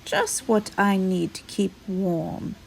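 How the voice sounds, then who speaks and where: A woman's voice: mid-range voice, steady tone, takes it slowly, vocal fry, slightly rough, full character voice. A woman voicing a snuffly, self-satisfied hedgehog in a storybook reading. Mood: pleased, smug. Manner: snuffly, smug. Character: prickly snuffling hedgehog, self-satisfied, cosy leaf gatherer.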